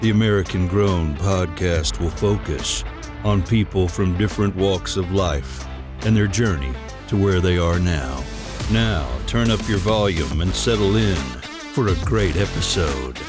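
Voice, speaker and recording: A man reading a scripted podcast intro in a measured, announcer manner. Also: low voice. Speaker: show announcer. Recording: intro over guitar music. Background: guitar music.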